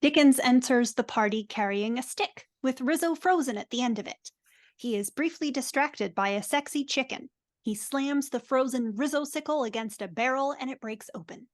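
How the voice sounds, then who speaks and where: A woman's voice: sits high, moderate pace. A woman giving scripted narration of stage directions in a live group reading on a video call.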